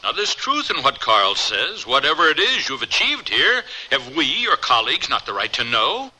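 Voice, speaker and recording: An actor playing a reasonable, loyal scientist in a radio drama, speaking in a measured, earnest way with urgent appeals. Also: male voice, medium pitch, wildly swooping pitch, pushed and bright, heavily acted, rough recording. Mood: earnest, persuading. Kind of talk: old-time radio drama acting.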